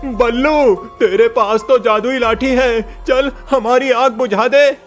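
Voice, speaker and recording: पुरुष की आवाज़ में ऊँचे सुर में घबराया ग्रामीण. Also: male voice, extremely high pitch, steady tone, slow pace, soft background music, pitched above the performer's own voice. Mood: panicked. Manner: urgent, pleading. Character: panicked, demanding village man with trapped children.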